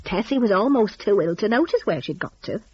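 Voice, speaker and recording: A woman's voice: mid-range voice, lively intonation, a little fast, a little mellow, slightly rough, muffled recording. A woman telling a story dramatically, in a folksy, conversational rustic idiom.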